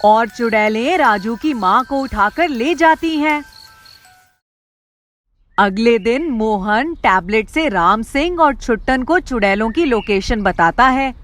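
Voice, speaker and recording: A woman's voice: fairly high pitch, lively intonation, slow pace, a bit bright. A woman reading scripted story narration in a storyteller's role. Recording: music behind the voice.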